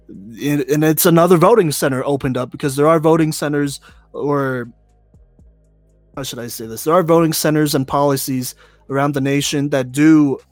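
A man's voice: mid-range voice, no room sound. A man co-hosting a podcast, talking earnestly about voting and change, with lots of "you know" fillers. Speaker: podcast co-host. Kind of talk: casual opinion talk.

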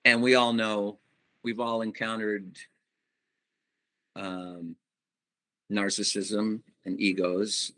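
A middle-aged man, a band singer, giving reflective interview answers and pausing often to find his words. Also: on the low side, little pitch movement, bright, forceful tone. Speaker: musician guest, band singer.

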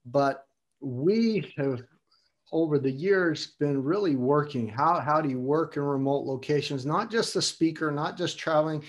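A man's voice: a little high, a little slow. An older man hosting an interview, asking long, meandering questions and repeating words as he thinks. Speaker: host. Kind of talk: casual interview.

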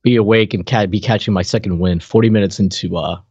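A man's voice: on the low side, steady tone, fast, darkish tone, breathy edge, no room sound. A man co-hosting a podcast over an online call, chatting casually in a joking, mock-serious way. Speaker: podcast co-host. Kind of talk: casual chat. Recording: dull, slightly lo-fi call audio.

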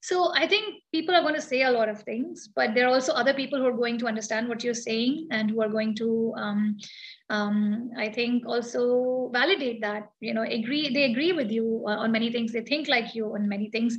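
Woman, interview guest; slightly high voice, hesitant, thinks aloud. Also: fairly even intonation, brisk, lo-fi sound. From India. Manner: thoughtful, hesitant, many ums and uhs. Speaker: guest, architect and founder of a design collective. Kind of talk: reflective interview answer.

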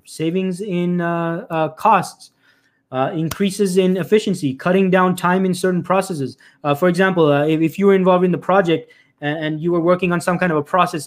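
Fast-talking emphatic career coach man. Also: high voice. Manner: emphatic, repeats phrases, often says "folks" and "right?"; frequent restarts and filler "uh". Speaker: career coach hosting a live stream. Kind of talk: live-stream advice talk to job seekers.

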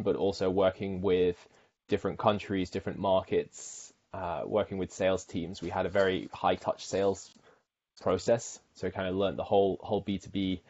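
A man's voice: sits low, darkish tone, dull audio. A man from the UK, a marketing director, talking casually as an interview guest with frequent fillers and restarts.

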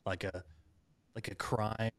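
A man's voice: fairly low pitch, flat delivery, slow, a bit bright. An American man hosting a casual interview, rambling with many fillers and frequent laughs. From United States. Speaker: podcast host.

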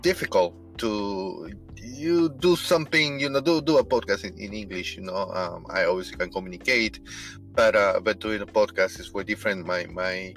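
A man's voice: fairly low pitch, moderate pace, fairly bright tone, soft background music, low-quality recording. A man in his thirties from Peru with a thick Peruvian Spanish accent, whose first language is Spanish, hosting a casual podcast and hesitating often, with many fillers and restarts. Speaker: podcast host, restaurant manager. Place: in a car while driving.

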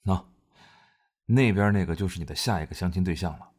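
A man acting a cool, teasing young friend in an audio drama, with a husky, laid-back delivery. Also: low-pitched, fairly quick, a little mellow.

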